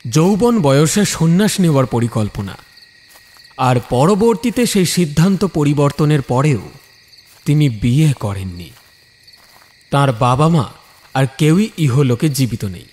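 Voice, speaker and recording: A man's voice: mid-range voice, a little mellow. A man narrating a scripted ghost story dramatically, building suspense phrase by phrase.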